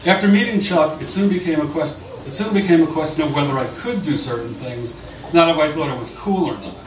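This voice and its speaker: A man's voice: fairly high pitch, lively intonation, fairly quick. Male author at a live bar reading, roomy echo and faint noise around the voice. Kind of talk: live literary reading aloud from a book. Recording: distant, echoey, noisy live bar recording.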